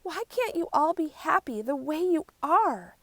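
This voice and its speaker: A woman voicing a timid little male warthog with a squeaky voice in a storybook. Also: big pitch swings, measured pace, no room sound, heavily acted. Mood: earnest.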